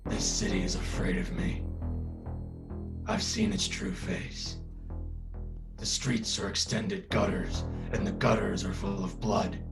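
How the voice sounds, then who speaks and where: A man's voice: fairly low pitch, sing-song, takes it slowly, gravelly, long pauses, over music, heavily acted. An actor playing a grim, misanthropic masked vigilante, narrating his journal in a terse, ominous dramatic monologue. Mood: grim, contemptuous.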